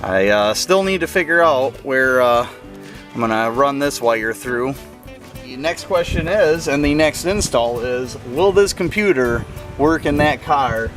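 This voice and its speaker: A man's voice: medium pitch, animated, a little slow, a bit bright, faint music behind. Casual man explaining a hands-on car project. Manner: informal, hands-on explaining, addressing viewers. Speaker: car restoration vlogger. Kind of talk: casual do-it-yourself vlog narration.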